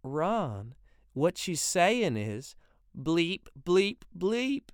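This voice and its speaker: A man voicing a sharp-tongued, level-headed housewife in a read-aloud story, speaking higher, slow and pointed. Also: big pitch swings, dry recording, clean studio sound, full character voice. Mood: teasing.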